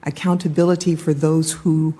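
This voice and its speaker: Lady testifying at a hearing, mellow husky voice, careful and guarded, kinda halting. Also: low-pitched, flat delivery. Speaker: government official testifying. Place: legislative hearing room.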